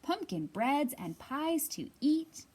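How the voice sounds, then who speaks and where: A woman librarian giving a storytime reading for young children, sing-song and expressive, pausing to ask the little listeners questions. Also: high-pitched, a little hiss or hum.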